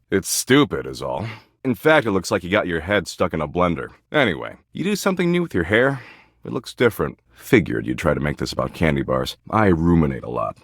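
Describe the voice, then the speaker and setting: Smug metaphysical slacker for a game: low voice, swooping inflection, sardonic and dismissive. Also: male voice, a bit bright, dry recording, clean studio sound, full character voice. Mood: mocking, sardonic. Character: smug, slangy male embodiment of existential dread. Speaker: voice actor in a dating simulator game. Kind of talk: video game character dialogue.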